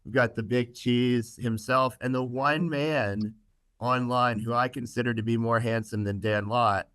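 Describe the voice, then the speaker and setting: Joking podcast host, smooth dry voice. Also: male voice, medium pitch, steady tone, a little slow, a bit bright, dry recording. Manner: joking, filler-heavy, upbeat. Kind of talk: casual podcast hosting.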